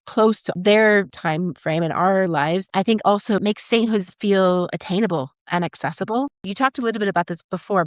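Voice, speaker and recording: A woman's voice: lowish voice, no echo, clean studio sound. A woman hosting a podcast in a conversational, polite way as interviewer.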